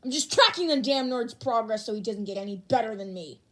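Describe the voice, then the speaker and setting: A hot-headed teenage hero student from a fan audio story, acted by a woman. He is gruff and combative. He swears freely in a youthful, fairly high voice. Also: animated, brisk, natural voice. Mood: irritated, grumbling. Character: hot-tempered, foul-mouthed teenage boy hero student.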